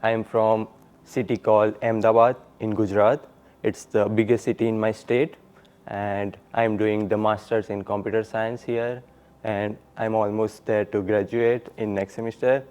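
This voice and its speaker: A man's voice: lowish voice, level tone, normal speed, darkish tone, smooth. A male graduate student from India, talking casually with many fillers in an interview. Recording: slightly dull lo-fi audio.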